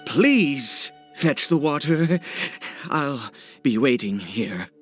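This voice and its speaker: An actor playing a desperate, obsessive father in a video game, speaking in a halting, stammering, nervous mutter. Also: mid-range voice, animated. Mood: tense, instructing. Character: desperate, obsessive father brewing medicine for his son. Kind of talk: dramatic video game voice acting. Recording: dialogue over background music.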